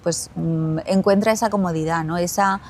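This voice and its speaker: A woman with an Andalusian Spanish accent, a company chief answering interview questions in a measured way, pausing and repeating as she thinks. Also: fairly low pitch, fairly even intonation, darkish tone, slight background noise. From Spain. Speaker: glamping company CEO, guest.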